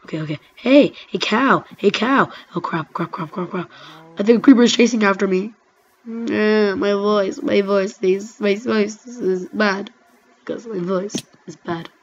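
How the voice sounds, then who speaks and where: Excitable rambling woman gaming. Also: medium pitch, measured pace, slight background noise. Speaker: gaming commentator. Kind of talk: casual let's-play commentary. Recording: dull lo-fi mic with faint music.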